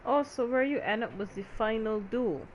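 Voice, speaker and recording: Woman streamer, casual live gaming commentary, voice a little high, noisy recording. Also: moderate pace. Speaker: game streamer.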